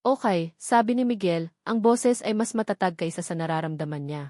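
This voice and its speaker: A woman reading a scripted romance story aloud in a measured, dramatic storytelling manner. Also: fairly low pitch, animated, warm tone, no echo, studio quality. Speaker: narrator.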